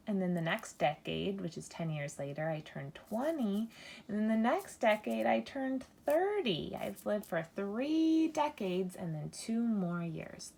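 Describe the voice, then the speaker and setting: A woman in her thirties teaching a children's math lesson, counting aloud slowly and breaking into mock-alarmed squeals. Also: medium pitch, vocal fry, darkish tone, slightly rough. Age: 30s. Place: indoors at a table, hands-only video.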